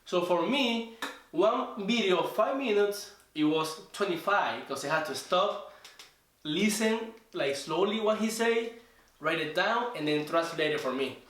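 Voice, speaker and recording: A man's voice: high voice, lively intonation, fairly bright tone. Venezuelan man, strong Spanish accent. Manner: earnest, halting, repeats words while searching for English. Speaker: trading student guest. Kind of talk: casual interview storytelling. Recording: echoey room, voice from a distance.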